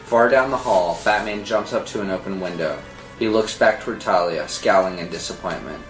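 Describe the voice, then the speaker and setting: A man's voice: low voice, normal speed. A man giving dramatic scripted narration, reading out a screenplay's stage directions. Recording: roomy sound with music underneath.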